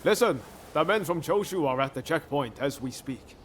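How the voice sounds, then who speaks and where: A man's voice: a little high, animated, moderate pace, fairly bright tone, slight background noise. A man playing a cocky, easygoing samurai schemer in a video game, breezy and self-satisfied. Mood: eager. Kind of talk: video game cutscene acting.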